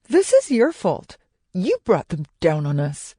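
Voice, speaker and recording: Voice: mid-range voice, wildly swooping pitch, a little slow, warm tone, slightly rough, no room sound, clean studio sound, exaggerated voice. A narrator voicing a sneering, aggrieved male market stallholder in an audiobook, scornful and indignant. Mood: accusing.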